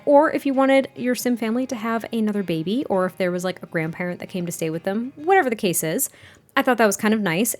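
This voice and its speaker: A woman with a slightly raspy, mid-pitched voice talks casually and rambles a little, full of fillers. Soft music plays faintly underneath her voice-over. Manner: chatty, rambling, frequent fillers and laughs. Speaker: game builder narrating a speed build. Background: soft background music.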